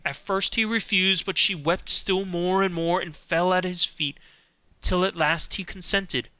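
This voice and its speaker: A man narrating a scripted fairy tale as an audiobook, in a measured storybook manner. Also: high-pitched, fairly even intonation, clean tone, no room sound, lo-fi sound.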